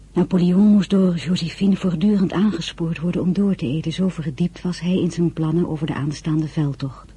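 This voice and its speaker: Young female diarist-narrator, intimate radio drama, very husky, soft and mellow. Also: low voice. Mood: wistful, hushed. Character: Désirée, observant young woman narrating her memories. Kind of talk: scripted first-person narration in radio drama.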